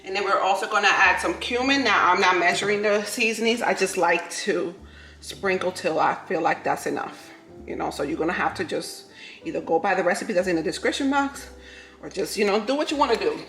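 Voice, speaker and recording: A woman's voice: medium pitch, a little fast, fairly bright tone, reverberant. Chatty New York woman cooking at home. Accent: New York with a Southern lilt. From New York City. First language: Spanish. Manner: chatty, laughs often, talks to viewers as "y'all". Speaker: vlogger. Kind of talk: casual chat while cooking. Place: home kitchen with food frying.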